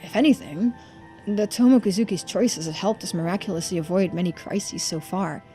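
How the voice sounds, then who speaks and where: A woman's voice: on the low side, moderate pace, warm tone, faint music behind, close to an everyday voice. A woman voicing an earnest, anxious young man, the protagonist of a video game, in a conversational, hesitant way. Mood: pensive. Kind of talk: video game dialogue read-aloud.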